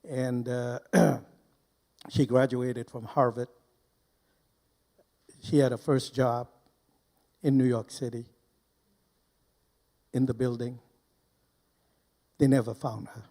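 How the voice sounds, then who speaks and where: An older man from Burma giving informal personal testimony to a live audience as a guest speaker, deliberately and in short, broken phrases. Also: medium pitch, little pitch movement, mellow. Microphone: handheld microphone held close.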